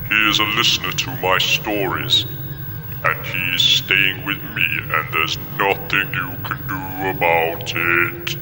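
A man's voice: low voice, steady tone, slow pace. A man playing a pompous, self-important godlike alien creator in a comedy sci-fi radio play, speaking in a grandiose, portentous manner. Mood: scornful. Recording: long reverb, music behind.

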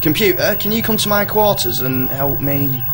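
Man playing a highish-voiced bumbling starship captain. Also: lively intonation, moderate pace, close to an everyday voice. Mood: bumbling, casual. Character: bumbling, trigger-happy male starship captain. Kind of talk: comedy radio play acting. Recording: music behind the voice.

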